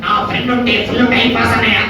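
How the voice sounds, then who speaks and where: An eager, sheepish little boy puppet, played by a man, chattering in a high voice at a quick pace. It is a live puppet skit, heard in a boomy, echoing hall. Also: little pitch movement. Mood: excited, boastful. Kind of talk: church puppet show skit. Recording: echoey hall, distant mic, noisy.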